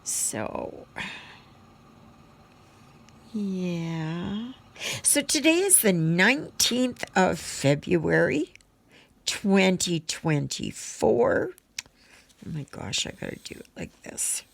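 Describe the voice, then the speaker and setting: A woman chatting casually as she does her crafts, rambling in a halting, unhurried way and thinking aloud. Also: lowish voice, big pitch swings, gravelly. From Canada. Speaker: craft vlogger. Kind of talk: casual rambling chat while stitching.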